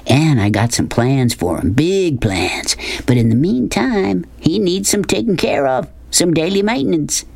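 An audiobook narrator voicing a large, loud Southern man, jovial and bossy, with a folksy, hollering manner. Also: mid-range voice, very animated, gravelly, husky, dry recording, voice pushed up, full character voice. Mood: sly, coaxing. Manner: hollering, folksy rural speech, rapid-fire questions. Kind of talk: audiobook character dialogue.

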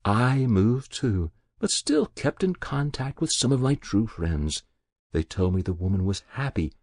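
A man voicing an elderly woman quietly confessing to a long-ago murder, in a raised, lively voice. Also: on the low side, a little slow, dry recording, studio quality, pitched above the performer's own voice, heavily acted. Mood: pained.

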